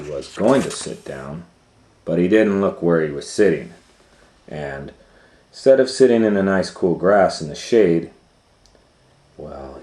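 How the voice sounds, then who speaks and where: A man's voice: deep voice, mellow. A man reading a children's story aloud in a conversational way, explaining things and putting questions to his listeners as he goes. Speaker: storytime reader and educator. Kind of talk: animated children's read-aloud with asides. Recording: echoey room, faint noise.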